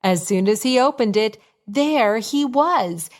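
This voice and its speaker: A woman narrates a bedtime story for young children at a very unhurried pace. Her voice sits fairly high, and her telling is simple and expressive.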